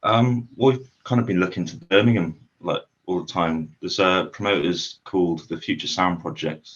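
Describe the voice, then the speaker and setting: Young lad in a band, really deep voice, kinda muffled recording, easygoing and laughy. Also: male voice, moderate pace. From Shropshire, England. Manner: easygoing, laughs often, lists things. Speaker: band member, guest. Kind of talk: casual interview chat. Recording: dull muffled mic.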